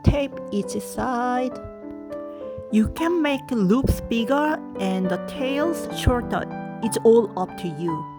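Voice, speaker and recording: Slow-spoken woman with a Korean accent. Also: a little high, animated. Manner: short simple directions in non-native English. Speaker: craft tutorial host. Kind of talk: step-by-step crafting instructions. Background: soft piano music.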